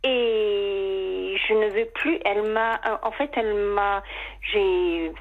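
Halting woman caller on muffled phone line. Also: medium pitch, steady tone, faint music behind. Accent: slight English accent. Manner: hesitant and halting, many fillers and restarts, emotional. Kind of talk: personal confession on call-in radio. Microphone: telephone line.

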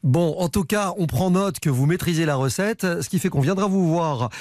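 A man hosting a radio show, asking questions in a brisk, upbeat way. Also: fairly high pitch, darkish tone, dry recording, studio quality. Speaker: radio host.

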